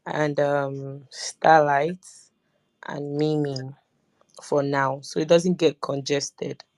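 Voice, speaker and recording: A woman with a low voice, chatty as she moderates and brings speakers up in turn. The recording is dry and close. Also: level tone, slow pace, darkish tone, no room sound. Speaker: co-host moderating the audio room. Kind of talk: casual moderation of a live audio chat.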